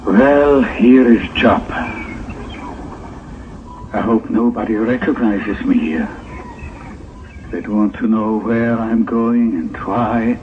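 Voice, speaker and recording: An actor playing a disdainful, secretive Hebrew prophet who mutters indignantly in an old audio drama. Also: male voice, on the low side, slow pace, pauses often. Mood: wary. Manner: muttering indignantly to himself, curt with others. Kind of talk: 1960s audio drama acting. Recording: muffled, noisy old phone-like audio-drama tape.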